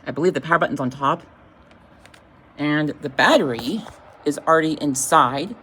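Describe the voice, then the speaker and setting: A woman giving a casual, informal unboxing demonstration as a product reviewer, speaking haltingly with vague, everyday wording. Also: low voice, a bit bright, a little hiss or hum.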